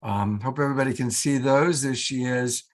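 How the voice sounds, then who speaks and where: A man hosts a relaxed live show over a video call. He speaks in a mid-range voice with an enthusiastic, joking manner, chatting casually as he goes. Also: mid-range voice, normal speed. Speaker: show host.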